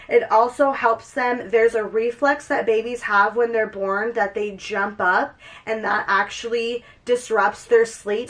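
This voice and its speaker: A woman's voice: on the high side, a bit bright. A young woman vlogging at home, chatty and upbeat, her voice a little stuffy and nasal from a cold. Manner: chatty, upbeat, talks straight to camera. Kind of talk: casual product walkthrough.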